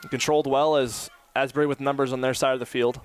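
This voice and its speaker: A man's voice: mid-range voice, a little hiss or hum. A man in his early twenties doing live play-by-play commentary for a college soccer match from an outdoor stadium. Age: 20s. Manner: rapid play-by-play that climbs to excited shouting.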